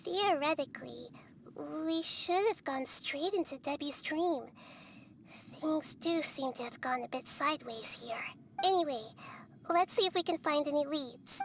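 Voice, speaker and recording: A woman voicing a gentle, wise, childlike girl deity in a video game, speaking softly in a measured, thoughtful way. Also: sits high, slight background noise. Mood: calm, analytical. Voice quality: soft, childlike. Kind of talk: animated video game voice acting.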